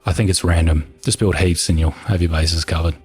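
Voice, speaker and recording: Kiwi guy, very deep husky voice, chill and soothing, a bit hoarse, faint music behind. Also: no echo. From New Zealand. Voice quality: calm, soothing voice. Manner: relaxed, unhurried, matter-of-fact. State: hoarse from talking for over an hour. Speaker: gaming video creator answering viewer questions. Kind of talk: casual Q&A chat.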